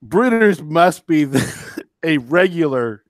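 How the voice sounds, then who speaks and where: A man's voice: fairly high pitch, sing-song, slow, no echo, clean studio sound. A man co-hosting a casual podcast, enthusiastic and rambling, often restarting his sentences. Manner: enthusiastic, rambling, self-interrupting. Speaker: co-host.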